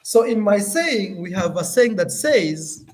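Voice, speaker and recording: Kenyan man, young adult, casual vlog talk, fairly high voice, animated and repetitive. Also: unhurried. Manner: animated, searching for words, repeats himself. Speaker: YouTuber.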